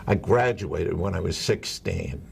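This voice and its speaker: A man's voice: deep voice, lively intonation, unhurried, vocal fry, darkish tone, slight background noise. An older man, a veteran broadcaster, chatting as an interview guest and telling stories with jokes at his own expense. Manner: chatty, joking storyteller. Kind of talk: casual interview chat.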